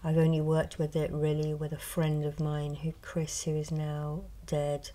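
A middle-aged woman, a reiki healer, speaking softly and slowly in a partly whispered show and tell, a little hoarse from a cold. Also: deep voice, completely flat delivery. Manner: slow, soft, partly whispered. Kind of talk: soft-spoken ASMR show and tell. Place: indoors at a table. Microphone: close mic over a tabletop.

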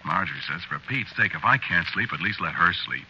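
Troubled safe opener, very low voice, swooping intonation, halting confiding delivery, vintage radio play. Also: male voice, expressive. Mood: confiding, uneasy. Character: troubled working-man safe opener recounting his story. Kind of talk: 1940s radio drama first-person narration. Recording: noisy, muffled old radio broadcast.

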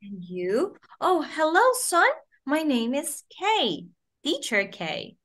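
A young woman from the Philippines teaches a child over a video call. She speaks slowly and deliberately, her fairly high voice swooping up and down as she models each phrase. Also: big pitch swings, fairly bright tone. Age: 20s. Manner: slow, sing-song modelling of phrases for a young learner. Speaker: online English teacher.

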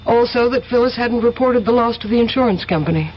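An actress playing a self-possessed, scheming young woman with measured, evasive speech in a 1970s radio drama. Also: on the high side, close to an everyday voice. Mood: composed. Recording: noisy, muffled old radio recording.

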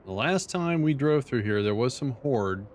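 An older man streaming a strategy game from his home, talking to himself in quiet, low-key asides. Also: lively intonation, normal speed, slight background noise. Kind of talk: casual let's play commentary. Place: home room, seated in a dark chair.

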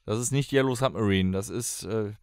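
A man's voice: on the low side, moderate pace, clear, resonant tone, dry recording, clean studio sound. A man co-hosting a podcast in casual chat, guessing and reacting. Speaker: podcast co-host.

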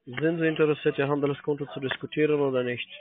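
Fast insistent salesman on a tinny phone line. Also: a little high, fairly even intonation, over music. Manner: insistent, impatient. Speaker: call centre salesman. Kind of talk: cold sales phone call.

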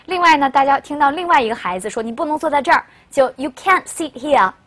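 Young woman teacher doing a lesson, kinda high voice, explains stuff and stresses the key bits. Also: lively intonation, fast, fairly bright tone. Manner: explanatory, addressing learners, emphasising key phrases. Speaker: English teacher. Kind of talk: scripted-style lesson presentation to camera. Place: indoor studio.

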